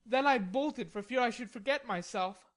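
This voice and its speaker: Indignant, defiant young gentleman in a storybook, put on by a woman; higher and tenser than her own voice. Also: normal speed, natural voice. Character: hot-tempered proud young gentleman.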